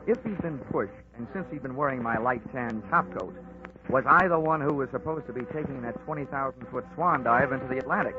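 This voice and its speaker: A man's voice: mid-range voice, fairly quick. Brief: man, dry wisecracking radio detective narrator, mid voice, dull old broadcast with music under it. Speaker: insurance investigator and narrator. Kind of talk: 1940s radio acting.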